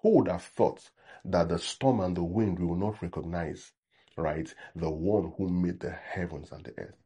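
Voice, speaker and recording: Nigerian man preaching, deep husky voice, conversational sermon with check-ins. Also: a little slow, dark tone. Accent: Nigerian English. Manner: acts out sample prayers in a mocking tone. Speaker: pastor.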